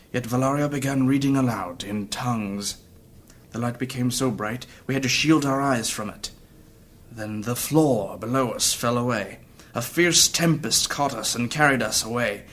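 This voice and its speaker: A man's voice: medium pitch, a bit bright, slight background noise, natural voice. A man playing a wounded, earnest adventurer who tells his story courteously and formally in a fantasy audio drama. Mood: intense.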